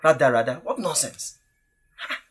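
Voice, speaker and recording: A man's voice: fairly high pitch, expressive, moderate pace, a bit bright. A man playing an indignant, protective father of the bride in an audio drama, blustering and scolding.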